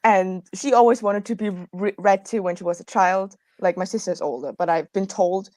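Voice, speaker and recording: Young adult woman, mid pitch, dry recording. Informal, rambling panel talk with self-corrections. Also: moderate pace, darkish tone, dry recording. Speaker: panelist in a writers' livestream. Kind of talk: casual chat.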